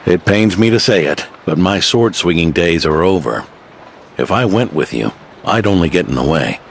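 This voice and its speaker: An actor playing a kindly old retired knight who speaks with gentle, old-fashioned courtesy. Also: male voice, low voice, animated, moderate pace, creaky, slight background noise. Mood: concerned. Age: older. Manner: warm, old-fashioned phrasing.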